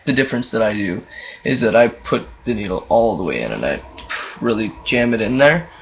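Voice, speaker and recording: A young man with a very low voice, talking candidly in a casual video diary, full of ums and uhs. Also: gravelly. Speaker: vlogger. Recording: dull lo-fi camera audio, faint hum.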